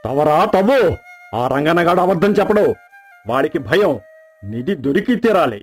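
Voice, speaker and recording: A greedy village landlord acted by a man bullies in a moderately high voice. He is a suspicious, domineering folk-story villain, heard over music. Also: expressive, moderate pace. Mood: obsessed. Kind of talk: acted moral story dialogue.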